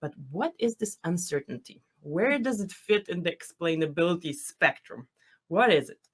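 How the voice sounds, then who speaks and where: A woman's voice: fairly low pitch, expressive, normal speed, slightly rough. A woman from Poland hosting an online lecture and interviewing a guest in a friendly, chatty way. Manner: friendly, chatty, thinks aloud. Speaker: host.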